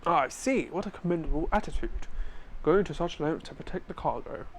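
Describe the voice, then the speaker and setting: A man's voice: on the high side, animated, fairly quick, slight background noise, low-quality recording. A man fan-dubbing a game, switching between acted lines and confused asides. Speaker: fan voice actor and player. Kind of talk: fan dub with live commentary. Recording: faint game music behind. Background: game music.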